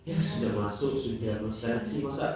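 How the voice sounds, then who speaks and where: A man's voice: medium pitch, fairly even intonation, very echoey, distant mic. A young man, a student guest on a campus podcast, talking casually with lots of fillers and rambling asides. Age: young adult. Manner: fast, rambling, frequent fillers.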